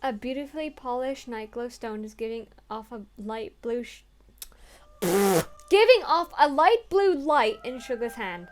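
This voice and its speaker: A woman's voice: a little high, lively intonation, a little slow, a little hiss or hum. A young woman playing through a story game, reading the text aloud earnestly and breaking off into crude, swearing jokes.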